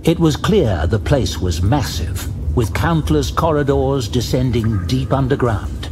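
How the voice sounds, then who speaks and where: A man giving dramatic scripted narration of a fantasy tale in a measured, grave storytelling manner. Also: medium pitch, expressive, background noise. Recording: music behind the voice.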